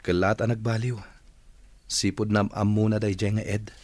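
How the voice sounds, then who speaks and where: A man's voice: on the low side, little pitch movement, normal speed, a little mellow, natural voice. An actor playing a disapproving village man trading gossip in a radio drama. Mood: regretful.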